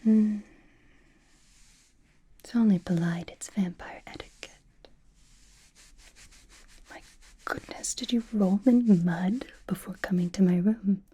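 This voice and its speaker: A woman playing an elegant, teasing female vampire noble in an ASMR roleplay, speaking in a soft, breathy whisper with a refined manner. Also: medium pitch. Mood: amused, haughty, then dry, instructing. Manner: slow, refined, wryly teasing.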